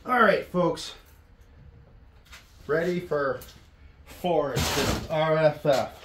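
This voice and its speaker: A man's voice: on the high side, very slow. A middle-aged man with a North American accent giving a casual hands-on tool review in an offhand, plain-spoken way. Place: indoor wooden workshop. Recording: echoey room, faint background noise.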